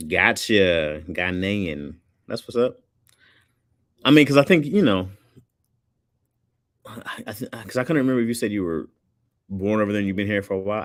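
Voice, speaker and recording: A man's voice: deep voice, no room sound, clean studio sound. A man hosting a livestream, chatting casually with his viewers in a rambling, laid-back way and laughing often. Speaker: livestream host reading chat. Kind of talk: casual chat.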